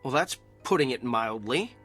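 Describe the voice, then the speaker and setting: A man's voice: medium pitch, expressive, moderate pace, a bit bright, slightly rough, faint music behind. An actor playing a troubled, sardonic company man in therapy in an audio drama, with a curt, defensive delivery. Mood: bitter, wry.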